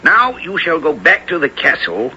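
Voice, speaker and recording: An actor playing a commanding, evasive knight and guardian with imperious, clipped archaic speech in a 1970s radio drama. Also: male voice, fairly high pitch, very animated, normal speed, pushed and bright, exaggerated voice. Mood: guarded, firm. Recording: dull, slightly noisy old radio broadcast.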